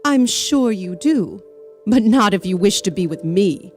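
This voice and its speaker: A prim, stately old-fashioned lady with a high, composed voice, as a deep-voiced man puts her on. Grave and correcting. Soft music behind. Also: lively intonation, a little slow.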